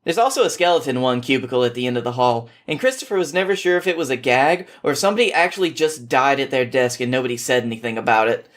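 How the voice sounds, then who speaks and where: A man's voice: medium pitch, fast talker, few pauses. A man reading a story aloud in an informal way, now and then stumbling over a word. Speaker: podcast host reading fan fiction.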